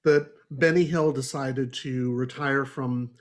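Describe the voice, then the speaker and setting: A man's voice: mid-range voice, steady tone, takes it slowly. A man hosting a casual book discussion in an exasperated, sardonic and freely profane manner. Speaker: podcast host.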